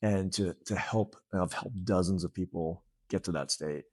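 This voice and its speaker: A man's voice: low voice, moderate pace, darkish tone, husky, no echo. A man in his late fifties talking earnestly and casually as an interview guest, often breaking off to restart. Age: late 50s. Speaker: fitness center owner, interview guest.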